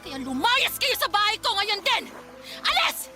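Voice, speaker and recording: A woman's voice: extremely high pitch, big pitch swings, a little slow, heavily acted. A woman playing a fiery, confrontational betrayed girlfriend in a melodramatic radio drama, scolding shrilly and emphatically. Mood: hurt, furious. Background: background music.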